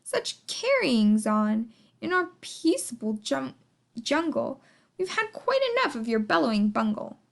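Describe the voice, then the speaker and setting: Woman as bossy mother kangaroo, scornful, snappish, high voice, deliberate storybook delivery. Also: big pitch swings, vocal fry, heavily acted. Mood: indignant. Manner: haughty, snappish.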